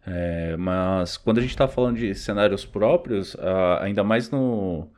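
A man's voice: sits low, measured pace, darkish tone. A man with a Brazilian accent hosting a podcast in a casual, slangy, conversational way. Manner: informal, slangy. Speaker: podcast host. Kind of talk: casual chat. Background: music.

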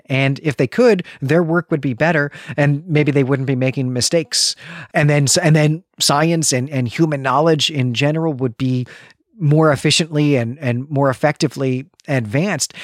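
A man's voice: fairly high pitch, no room sound, studio quality. A man talking as a podcast host in a thoughtful literary discussion, explaining earnestly and repeating words for emphasis.

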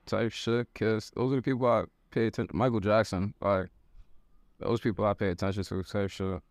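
A young man talks loosely and bluntly in a casual chat among friends, swearing freely. His voice sits low. The sound is close and dry. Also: fairly even intonation, moderate pace, no echo. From United States. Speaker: member of a creative group. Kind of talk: casual group conversation.

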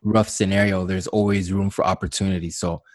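A man's voice: sits low, little pitch movement, brisk, dry recording, clean studio sound. A man hosting a podcast interview, affirming his guest and often repeating words as he talks. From Canada. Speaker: podcast host. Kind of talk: casual interview chat.

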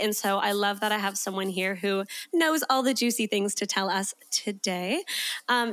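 A woman's voice: medium pitch, fairly bright tone. Chatty, upbeat young woman podcast host. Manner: enthusiastic, chatty, laughs often.

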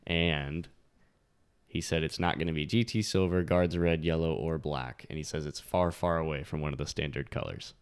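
Very low-voiced man in casual car chat. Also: moderate pace, smooth. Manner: blunt, laughs often, wraps up the show. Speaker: podcast co-host. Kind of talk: casual chat among friends.

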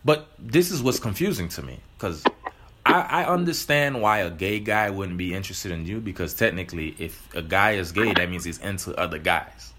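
A man hosting a loose, casual interview with a lowish voice. He cuts in often, saying "wait" and "hold on" to stop his guest, then asks his questions bluntly. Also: moderate pace, background noise. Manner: interrupts, says "hold on" a lot. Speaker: host.

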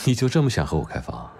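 Casting: cool, blunt young doctor, deep voice, dry teasing, expressive intonation, audio drama. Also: male voice, creaky, slight background noise, full character voice. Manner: dry, blunt, exasperated, unhurried. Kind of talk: Chinese audio drama acting.

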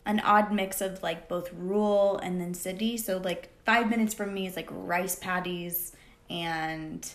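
A woman's voice: on the low side, fairly even intonation, unhurried, echoey room. A woman from Thailand chatting casually as a podcast guest, telling stories about herself in a self-deprecating way. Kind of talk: casual chat.

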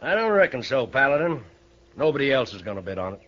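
An actor playing a shrewd, grudge-holding old ranch owner in a radio Western, with a folksy, needling American Western drawl. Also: male voice, medium pitch, big pitch swings, fast, a bit bright. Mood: shrewd, blunt. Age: older. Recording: muffled vintage radio broadcast.

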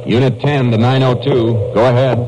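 An actor in a 1950s radio drama playing a steady, decisive Texas Ranger in a matter-of-fact manner. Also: male voice, mid-range voice, moderate pace, lightly acted. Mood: brisk, assured. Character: steady, commanding male Texas Ranger leading a manhunt. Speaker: lead actor and narrator. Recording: noisy old radio broadcast recording.

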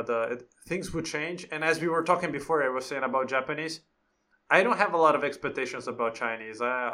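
A man's voice: medium pitch, bright, forceful tone. A man co-hosting a language-learning podcast, speaking as an in a hesitant casual chat with many restarts.

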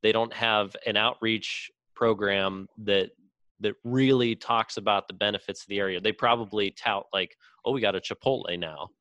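A man's voice: fairly low pitch, steady tone, moderate pace, pushed and bright, dry recording. A young man in a casual podcast debate, speaking as an opinionated co-host who swears freely.

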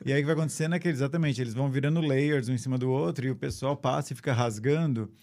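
A man's voice: mid-range voice, very fast, a little mellow. A man from São Paulo, a photographer chatting as a guest, rambling with many restarts. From São Paulo, Brazil. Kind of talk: casual interview chat.

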